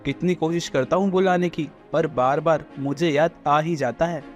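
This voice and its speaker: A man's voice: on the high side, fairly even intonation, moderate pace, faint music behind, lightly acted. A man playing an earnest truck driver and devoted husband in a cartoon story, talking in a colloquial way. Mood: troubled. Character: adult male truck driver turned cook, devoted husband. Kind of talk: Hindi cartoon story dubbing.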